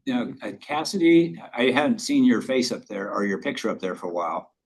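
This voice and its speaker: A man chairing a public board meeting, speaking in a relaxed, halting way with many fillers. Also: medium pitch, slightly rough.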